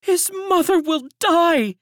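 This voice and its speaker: A woman voicing a grieving elderly servant woman who gossips fretfully, in a high, lamenting tone. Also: lively intonation, takes it slowly, no room sound, clean studio sound, full character voice. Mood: fearful. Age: older.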